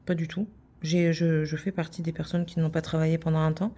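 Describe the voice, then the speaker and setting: A woman's voice: low voice, level tone, a little fast, mellow. A middle-aged woman from France, hosting a craft channel and chatting casually, rambling with many fillers and restarts.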